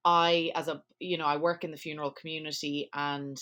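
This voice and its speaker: A woman with a low voice hosts a podcast, talking at length in a chatty, self-deprecating way. Her phrasing is loose and conversational, with pauses and fillers along the way. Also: level tone, pushed and bright. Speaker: podcast host, memorial planner. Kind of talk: podcast interview.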